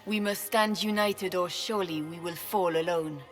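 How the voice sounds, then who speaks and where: An actress playing a regal, composed empress in a video game, speaking in a stately, formal manner. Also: female voice, on the low side, moderate pace, natural voice. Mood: proclaiming. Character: regal, composed empress addressing her court. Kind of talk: theatrical video game acting. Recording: long hall reverb, music behind.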